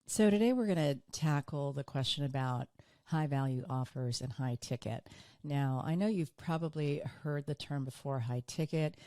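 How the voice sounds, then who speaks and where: A woman hosting her own business podcast, talking earnestly on her own, a little hoarse and tired from illness. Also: low-pitched, normal speed, dark tone, no echo, clean studio sound. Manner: earnest, repeats for emphasis. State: hoarse, recovering from illness, fatigued. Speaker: podcast host. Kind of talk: solo business podcast monologue.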